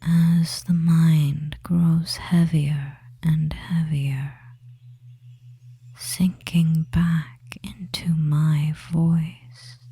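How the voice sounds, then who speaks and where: A performer voicing a devious, soothing femboy witch in a hypnosis ASMR roleplay, in a soft breathy whisper with a slow, lulling manner and long pauses. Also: mid-range voice, flat delivery, exaggerated voice. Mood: soothing, hypnotic. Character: devious soothing witch of the deep wood, feminine young man.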